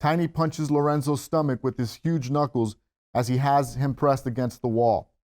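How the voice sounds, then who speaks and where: Medium-pitched man reading stage directions. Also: fairly even intonation, normal speed, darkish tone. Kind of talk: scripted narration at a table read.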